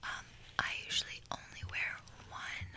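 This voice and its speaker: A young woman voicing a smug, materialistic hype beast in an ASMR roleplay, whispering softly in a casual, bragging drawl close to the microphone. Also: measured pace. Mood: casual, instructive. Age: young adult. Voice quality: soft breathy whisper. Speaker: ASMR creator. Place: small bedroom, indoors. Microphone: close desk microphone.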